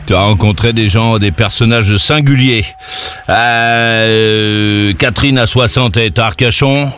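A man's voice: on the low side, steady tone, a bit bright, a little hiss or hum. A man hosting a radio call-in show, talking playfully and teasing his callers with pointed questions. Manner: playful, teasing, quick to probe.

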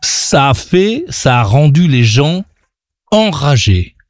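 Man, heated debater; insistent, sarcastic, hesitant; slightly high pitch. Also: sing-song, slow, no room sound.

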